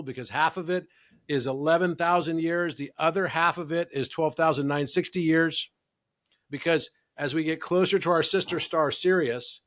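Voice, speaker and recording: A man's voice: on the high side, fairly bright tone. Man explaining at length as podcast host. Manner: explanatory, lecturing with emphatic quoted asides. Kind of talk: casual conversational interview.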